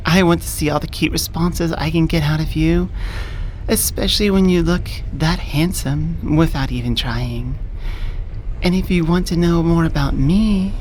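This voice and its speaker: A woman acts out a self-assured elf lady with a fairly low, soft-spoken voice. She is teasing, doting and flirtatious, in an intimate ASMR romance roleplay. She is close on the microphone, with noticeable ambient noise behind her. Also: close to an everyday voice. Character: confident dominant elf woman, flirty, adoring coworker. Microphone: close binaural studio microphone.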